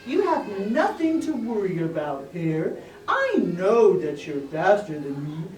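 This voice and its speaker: A woman voicing a patient, modest tortoise in a puppet show, speaking in a steady, unhurried way. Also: lowish voice, full character voice. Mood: calm reassurance. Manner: slow, soft, repetitive, unhurried. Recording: echoey, distant mic, faint noise.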